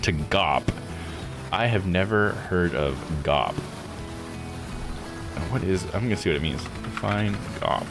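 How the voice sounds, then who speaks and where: A man's voice: low-pitched, expressive, slow pace, pauses often, music in the background. A man streaming a game playthrough with casual commentary, musing aloud in an easygoing way.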